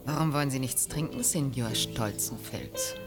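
A woman's voice: extremely low pitch, moderate pace, over music. An actress playing a cool, guarded, formal businesswoman in a radio drama. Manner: formal, controlled, insistent. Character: cool, wealthy female buyer from Brazil, businesslike, guarded. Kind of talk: 1980 German radio drama acting.

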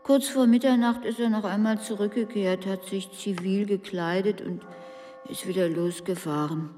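Actress as a guarded wife in hall reverb. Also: female voice, mid-range voice, fairly even intonation, moderate pace, warm tone, lightly acted. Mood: formal, guarded. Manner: formal, guarded, hesitant. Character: discreet, reluctant wife of a police commander. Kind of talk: German radio drama acting. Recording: long hall reverb, music behind.

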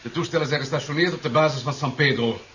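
An actor playing a composed, formal vice-admiral in a 1970s Dutch radio play, briefing in a measured, official manner. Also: male voice, fairly high pitch, fairly bright tone, natural voice. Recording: dull, hissy 1970s radio recording.

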